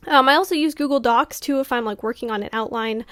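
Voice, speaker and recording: A woman author talking casually to camera, full of fillers and small laughs, whose voice is going hoarse. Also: fairly high pitch, fast, fairly bright tone, dry recording, studio quality. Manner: chatty, many fillers, laughs often. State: losing her voice. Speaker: author vlogger.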